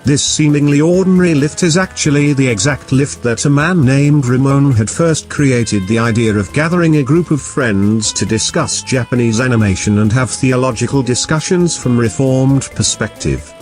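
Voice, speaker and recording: A man's voice: mid-range voice, normal speed, hardly any pauses, a little mellow, close to an everyday voice. A man playing a wry, formal narrator in a scripted comic sci-fi audio skit. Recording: music behind the narration.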